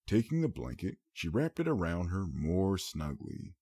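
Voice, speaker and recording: Husky low-voiced male story narrator. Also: sing-song, unhurried, dark tone. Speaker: audiobook narrator.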